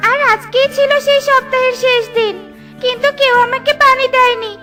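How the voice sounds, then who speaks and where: A woman voicing a kind, grateful magical banana tree in a children's cartoon, speaking in a gentle, reassuring way. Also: very high-pitched, fairly even intonation, normal speed, soft background music, voice pushed up. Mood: grateful, kindly. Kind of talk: children's cartoon story acting.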